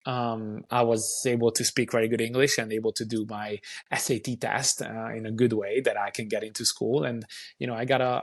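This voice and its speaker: Gravelly Hungarian-accented man in his thirties. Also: medium pitch. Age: 30s. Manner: halting, many restarts and ums. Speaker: youth soccer academy director. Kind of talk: casual interview chat.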